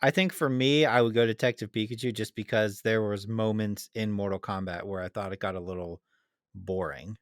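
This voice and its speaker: A man's voice: lowish voice, steady tone, fairly quick, no room sound, clean studio sound. A man co-hosting a podcast in casual debate, easygoing as he prompts his partner. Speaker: podcast co-host.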